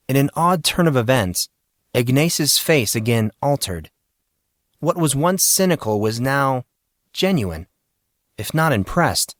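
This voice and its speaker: A man narrating scripted fiction as an audio story, in an expressive storytelling manner. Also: mid-range voice, no echo, clean studio sound.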